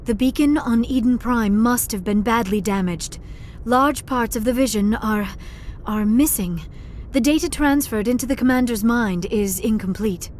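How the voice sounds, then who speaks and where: A woman playing a gentle, earnest young alien scientist in a video game, speaking formally and precisely. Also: fairly high pitch, moderate pace, hardly any pauses, slight background noise, close to an everyday voice. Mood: awed. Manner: formal, precise speech without contractions. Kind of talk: video game dialogue acting.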